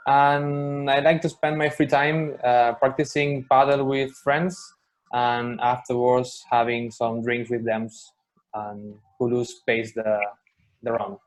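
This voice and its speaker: A man's voice: medium pitch, level tone, slow pace. A man in his twenties from Catalonia introducing himself steadily. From Catalonia, Spain. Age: 20s. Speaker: meeting participant. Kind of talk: casual self-introduction. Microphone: video call.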